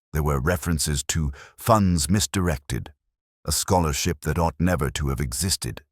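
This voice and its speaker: A man voicing a reflective, wry middle-aged detective, narrating a mystery audio drama in the first person with a measured, literary delivery. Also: very deep voice, husky, dry recording, clean studio sound, full character voice.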